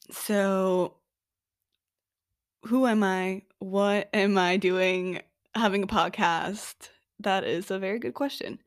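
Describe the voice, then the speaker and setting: Chatty young woman from norway doing her solo podcast, lots of "like", slow easy pace, dry close mic. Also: mid-range voice, no echo. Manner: chatty, upbeat, filler-heavy with frequent "like". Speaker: podcast host. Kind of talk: casual solo podcast monologue.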